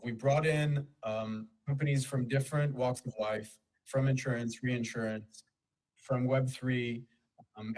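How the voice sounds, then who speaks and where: A man who grew up in Kenya giving a conference presentation in a measured, explanatory way, pausing for emphasis. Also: mid-range voice, darkish tone, low-quality recording. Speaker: conference speaker for a nonprofit.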